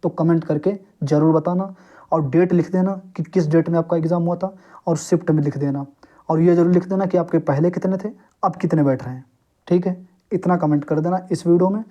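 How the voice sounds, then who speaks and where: A man's voice: a little high, steady tone, brisk, dark tone, lo-fi sound. A young man explaining things informally to his viewers, step by step, often checking that they follow. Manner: instructive, repeatedly checks understanding with short tags. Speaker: exam coaching YouTuber.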